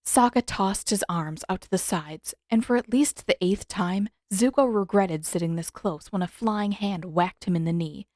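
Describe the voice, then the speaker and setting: A woman's voice: medium pitch, moderate pace, few pauses, warm tone, no room sound. Woman narrating a story, dry close sound. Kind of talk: scripted fan fiction audiobook narration.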